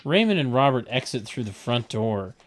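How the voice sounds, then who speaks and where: Wry man narrator with lively pitch. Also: normal speed. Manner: wry, mocking asides. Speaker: narrator reading stage directions. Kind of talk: comedic table read narration with wry asides.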